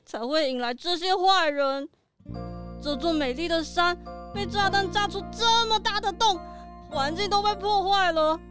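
Very high-pitched little puppy Wanda. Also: female voice. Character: earnest little puppy, innocent grandchild innkeeper Wanda. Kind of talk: children's audio drama acting.

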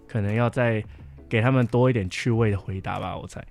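A young man with a Taiwanese Mandarin accent chats casually and reflectively, explaining at length and softening his opinions. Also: lowish voice, fairly even intonation, a little fast, creaky. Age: young adult. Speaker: camp counselor sharing experiences. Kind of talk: casual podcast chat. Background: background music.

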